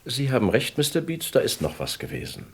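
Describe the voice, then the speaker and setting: A man's voice: mid-range voice, huge pitch swings, a little fast, breathy edge, full character voice. An actor playing an earnest, hesitant millionaire recalling his kidnapping as a witness in a German radio crime drama. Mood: hesitant, recollecting.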